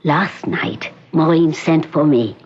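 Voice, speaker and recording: An actress playing a doctor, speaking in a formal, measured, clipped way. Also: female voice, low voice, darkish tone, breathy edge, dull audio, exaggerated voice.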